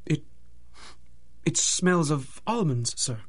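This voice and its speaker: Manor butler: formal, measured, courteous, lighter-pitched, 1930s radio mystery style. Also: male voice, lively intonation, breathy edge, heavily acted. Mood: subdued, respectful. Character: formal, deferential male butler of a manor house.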